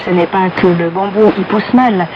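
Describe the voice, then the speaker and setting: A woman's voice: mid-range voice, moderate pace. A woman narrating a scripted radio report as a reporter, heard over shortwave. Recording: muffled, noisy shortwave radio reception.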